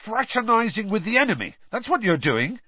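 A man reading as a jealous teenage boy, scathing and nasty in every word. His voice sits raised above a plain man's, a little husky, on a dry, dull recording. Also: high-pitched, big pitch swings, a little fast, no room sound, voice pushed up, full character voice. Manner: scathing, sneering.

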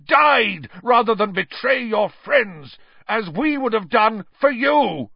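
A bitter, vengeful man, acted by a male narrator, harsh and snarling. His accusations swing widely in pitch with forceful emphasis, in the heated manner of a storybook drama, on a close, dry recording. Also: sing-song, measured pace, gravelly, slightly rough, dry recording, lo-fi sound, full character voice. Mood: roaring rage.

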